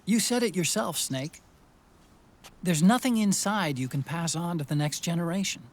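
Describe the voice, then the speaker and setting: High-voiced, earnest loyal friend. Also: male voice, moderate pace. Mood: earnest, resolute. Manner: earnest, pleading. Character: earnest, emotional, devoted male friend and sidekick. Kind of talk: dramatic video game cutscene acting.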